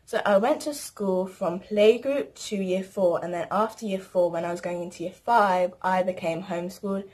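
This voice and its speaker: A woman's voice: mid-range voice, normal speed, few pauses, a little mellow, low-quality recording. Teen girl, casual rambling vlog delivery. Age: teens. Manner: chatty, rambling, lists things. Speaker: vlogger. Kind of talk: casual chat to camera.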